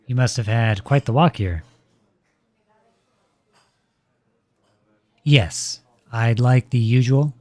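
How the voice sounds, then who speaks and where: A man playing a protective boyfriend in a scripted audio roleplay, with measured, formal, pointed phrasing, polite but cutting. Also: medium pitch, no room sound. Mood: polite, guarded.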